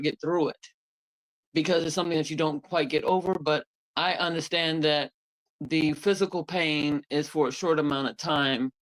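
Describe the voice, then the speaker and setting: A woman's voice: low-pitched, poor audio. A woman on a discussion panel, speaking candidly and reflectively, with many hesitations.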